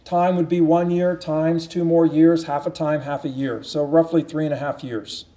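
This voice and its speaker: A man with a high, mellow voice preaches in an earnest teaching tone. He presses his points through repetition and often restarts his phrases. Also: steady tone, normal speed. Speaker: pastor. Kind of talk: sermon Bible teaching.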